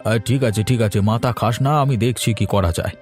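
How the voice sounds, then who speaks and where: Fast-talking, grumbling stingy father acted by a man. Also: fairly low pitch, darkish tone, faint music behind, natural voice. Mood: grudging. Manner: curt, grumbling. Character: stingy, irritable middle-aged father who grudgingly gives in. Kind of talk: cartoon voice acting.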